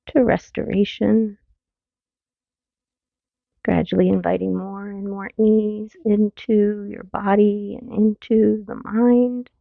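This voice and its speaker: Woman, meditation guide, soothing and gentle, strong gravelly creak, long pauses, phone-like narrow audio, very dry sound. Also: mid-range voice, dry recording. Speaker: mindfulness teacher. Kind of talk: guided meditation, body scan.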